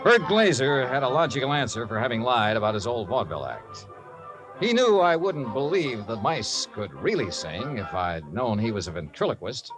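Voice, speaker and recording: An actor playing a wry, kindly insurance investigator, with an American accent, narrating and talking in 1950s radio drama style. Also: male voice, medium pitch, big pitch swings, moderate pace, natural voice, poor audio. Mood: wry narration. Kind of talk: 1950s radio detective acting, first-person narration. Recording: 1950s radio recording with music.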